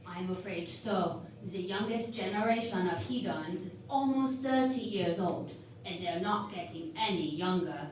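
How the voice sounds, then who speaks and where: An earnest, analytical female science officer, acted by a woman in a sci-fi radio parody. She speaks in a slow, deliberate, matter-of-fact way. The sound is very echoey and a bit distant. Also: on the low side, natural voice. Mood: solemn. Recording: very echoey room, distant mic, faint noise.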